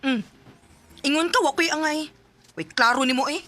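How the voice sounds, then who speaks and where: A playful, self-conscious young Filipina in a Bisaya radio drama. Her bright, highish voice carries a Cebuano accent over faint background noise. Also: normal speed. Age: young adult.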